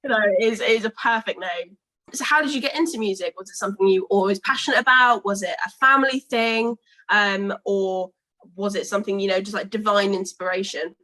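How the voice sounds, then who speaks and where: A woman's voice: mid-range voice, brisk, sharp tone, low-quality recording. A young British woman interviewing a music duo, asking long chatty questions full of fillers.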